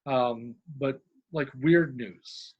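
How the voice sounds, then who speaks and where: A middle-aged man from Indiana, a humor columnist with a deadpan manner, sharing his work. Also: medium pitch, very slow, slightly rough, poor audio. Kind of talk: reading a humorous diary-style column, then casual talk.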